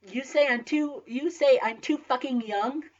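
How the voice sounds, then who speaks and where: A woman's voice: high-pitched, a little slow. A woman voicing a fiery young student revolutionary, declaiming indignantly and swearing in a comic story reading. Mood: outraged, defiant. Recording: dull lo-fi audio.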